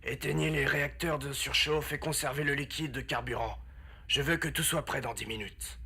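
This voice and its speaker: A man's voice: medium pitch, steady tone, moderate pace, gravelly, sharp tone, husky, heavily acted. A man playing a stern, formal military officer in the service of a feared emperor, in a French fan-made anime drama. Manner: authoritative, formal.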